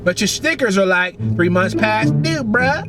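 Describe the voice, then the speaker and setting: Man acting male traffic cop, high pitch, formal, drawn-out, firm but polite, comedy cartoon, music underneath. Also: expressive. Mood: firm, apologetic. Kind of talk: animated comedy sketch dialogue. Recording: voice-over with clear background music.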